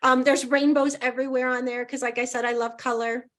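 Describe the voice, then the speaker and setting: A middle-aged woman from Indiana, a podcast guest chatting casually, rambling and laughing easily in a loud voice. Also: high-pitched, flat delivery, brisk. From Indiana, USA. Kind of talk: casual interview chat.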